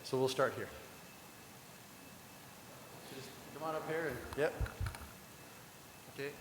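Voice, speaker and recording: A man presiding over a public council meeting, speaking in a friendly, informal, halting way with frequent restarts. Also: medium pitch, fairly even intonation. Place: council chamber. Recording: echoey council chamber with long reverb and faint hum.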